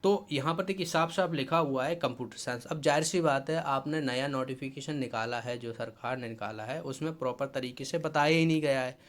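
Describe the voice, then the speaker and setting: A man's voice: mid-range voice, brisk, hardly any pauses. A man from India explains a notice informally as an exam-preparation teacher, instructive and repetitive, mixing in English technical terms.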